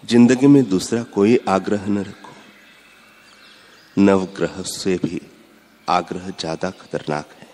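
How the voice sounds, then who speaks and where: A man giving a measured, instructive spiritual discourse, repeating his points. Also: sits low, fairly even intonation, a little mellow. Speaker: spiritual speaker. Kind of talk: slow devotional discourse. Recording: long hall reverb.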